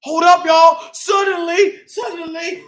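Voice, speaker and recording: A man's voice: extremely high pitch, little pitch movement, slow, fairly bright tone, raised voice, a little hiss or hum. A man hosting a read-aloud for young children, playful and exclamatory with a sing-song delivery. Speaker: children's storytime host.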